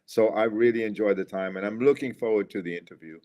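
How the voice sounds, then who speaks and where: A man's voice: fairly low pitch, fast talker, mellow. A middle-aged American man from Florida, an engineer and school speaker, giving upbeat, motivational interview answers and repeating his key phrases. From USA, Florida.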